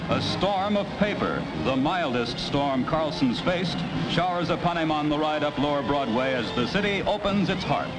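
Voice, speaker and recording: A man's voice: a little high, lively intonation, normal speed, hardly any pauses, low-quality recording. A man reading a scripted, dramatic 1950s newsreel narration on a vintage soundtrack.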